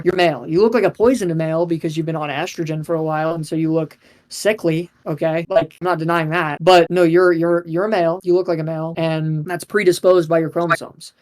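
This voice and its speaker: A young man arguing insistently in an online debate, often repeating himself. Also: a little high. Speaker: debate guest. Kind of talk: heated debate.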